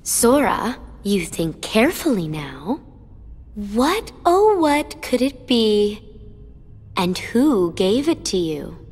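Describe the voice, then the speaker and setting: A woman's voice: mid-range voice, very animated, slow pace, husky, long reverb tail, full character voice. An actress voicing a sadistic young female villain in a game, taunting with a mocking sing-song manner. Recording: game cutscene with loud music.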